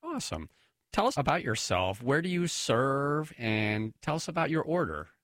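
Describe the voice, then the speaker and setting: A man's voice: medium pitch, very animated, normal speed, a bit bright, dry recording, studio quality. A man hosting an interview show, introducing and questioning a guest. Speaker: radio show host and deacon.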